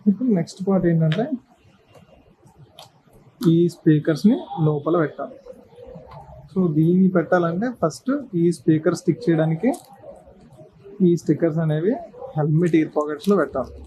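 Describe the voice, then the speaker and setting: A man's voice: fairly high pitch, moderate pace, pauses often, mellow, slight background noise, rough recording. A man giving a casual hands-on tutorial, explaining step by step in short instructional phrases. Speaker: presenter of a how-to video.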